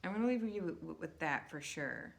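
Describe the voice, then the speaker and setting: Low-voiced woman musing to her viewers. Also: lively intonation, gravelly. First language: English. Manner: rambling, restarts often, stretches words out slowly for emphasis. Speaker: vlogger. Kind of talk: casual musing monologue to viewers.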